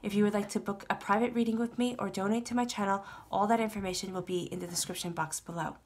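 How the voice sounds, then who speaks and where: A woman giving a tarot reading to her viewers, her voice congested and stuffy from a cold. She speaks at a middle pitch in a calm, reassuring way, circling back to the same phrases. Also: fast, slight background noise. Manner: soothing, reassuring, repeats phrases. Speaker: tarot reader. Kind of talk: tarot reading monologue to camera.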